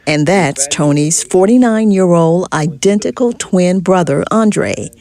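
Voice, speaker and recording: A woman radio reporter narrating a scripted news feature in a measured, clear way. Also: on the low side, expressive, darkish tone.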